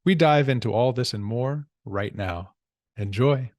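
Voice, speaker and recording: A man's voice: mid-range voice, unhurried, very noisy. A man hosting a podcast, introducing a guest and asking friendly, upbeat questions. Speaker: podcast host.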